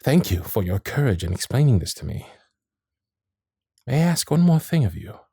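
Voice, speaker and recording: A man playing a courteous, protective Victorian gentleman suitor in a romantic period ASMR roleplay, with formal, old-fashioned phrasing and dramatic pauses. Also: medium pitch, big pitch swings, husky, no room sound, clean studio sound. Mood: earnest, indignant. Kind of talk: romantic period ASMR roleplay, intimate one-sided dialogue.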